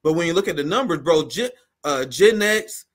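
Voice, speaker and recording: A man's voice: on the high side, moderate pace. An American man in his thirties co-hosting a casual, profane political show, with an incredulous, slangy manner. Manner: incredulous, animated, slangy ranting. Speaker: co-host.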